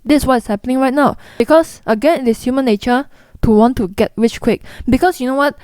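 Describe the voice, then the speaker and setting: A young woman with a Singaporean accent talks straight to her viewers in a blunt, chatty manner. Her voice sits a little high, and the recording is dry with no room sound. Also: brisk, darkish tone, dry recording. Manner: blunt, chatty, mimics people in quotes. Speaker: investing vlogger. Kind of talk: direct-to-camera explainer.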